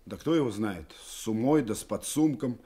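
A husky rank-and-file soldier. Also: male voice, fairly low pitch, animated, moderate pace, mellow. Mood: businesslike. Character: plain-spoken Red soldier reporting to his commander. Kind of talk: Soviet radio play acting.

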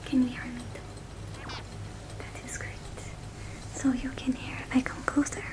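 A young woman whispering a gentle, soothing ASMR roleplay in an unhurried, reassuring way, very close to a sensitive microphone. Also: high voice, level tone, poor audio. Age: young adult. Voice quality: breathy, unvoiced whisper. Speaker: ASMR roleplay performer as a robot repair technician. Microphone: close-up sensitive ASMR microphone, speaking through a cloth mask.

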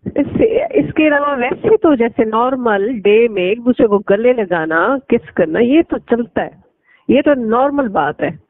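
A woman's voice: on the high side, sing-song, unhurried, dry recording. A young woman chatting casually and privately in a recorded phone call. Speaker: caller.

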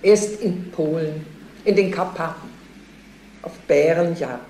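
An actress playing a curt, unforthcoming notary's clerk in a radio crime drama. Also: female voice, low voice, a little slow, dark tone. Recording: roomy, faint noise.

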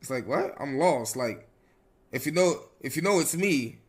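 A man's voice: fairly high pitch, expressive, normal speed, slightly rough. A man streaming a roleplay game, talking casually and evasively with slang and swearing.

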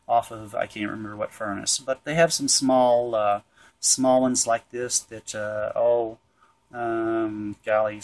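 A man in his forties gives an informal hands-on technical explanation, thinking aloud haltingly, recorded on a camera in an indoor workshop. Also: medium pitch, a little hiss or hum, low-quality recording. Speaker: HVAC tutorial presenter. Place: indoor workshop with metal shelving.

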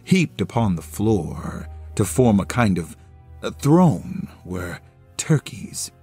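Slow, dramatic male storyteller over music. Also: fairly low pitch, sing-song, darkish tone, slightly rough. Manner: theatrical, deliberate phrasing. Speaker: narrator and solo actor. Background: music under the voice.

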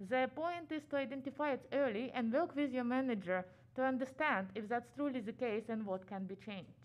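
A woman from Ukraine with a Ukrainian accent, giving a conference talk quickly with many "uh" fillers, her voice muffled by a face mask. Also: fairly high pitch. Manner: fast-talking, frequent "uh" fillers. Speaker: conference speaker. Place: conference room.

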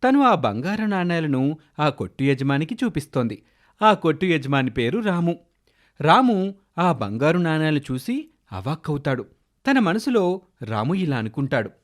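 A man's voice: fairly high pitch, big pitch swings, moderate pace, pauses often. A man narrating a scripted children's moral story.

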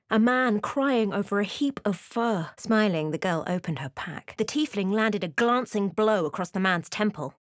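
An actress playing an observant, wry woman adventurer in a fantasy video game, with measured, dramatic storytelling delivery. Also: a little high, no echo, studio quality, natural voice. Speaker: voice actor for a fantasy role-playing game companion or player character. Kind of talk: scripted video game voice lines, narration and character remarks.

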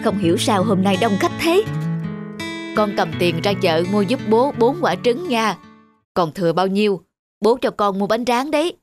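A man's voice: high-pitched, animated, moderate pace. Honest working-class father for animation, kindly, firm and earnest, music behind the voice. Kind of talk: cartoon dubbing.

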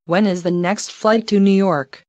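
A woman reads short English travel phrases one at a time, slow and careful, as if for learners to repeat. Her voice sits at an ordinary middle pitch. The sound is dry and close with no room around it. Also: fairly even intonation, a little mellow, no room sound, dull audio. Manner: careful, evenly paced, each phrase read alone.

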